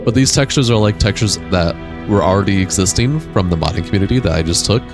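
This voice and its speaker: A young man with a deep voice, casually streaming a game and chatting with viewers in a laid-back, rambling way. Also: quick pace. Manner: laid-back, rambling, reads and answers chat. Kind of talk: casual live-stream chat while gaming. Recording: game music playing behind.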